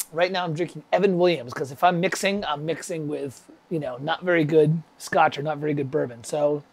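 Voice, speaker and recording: A man with a stuffy summer cold, teaching music composition on a casual livestream, rambling and chatting with viewers by name. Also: on the high side.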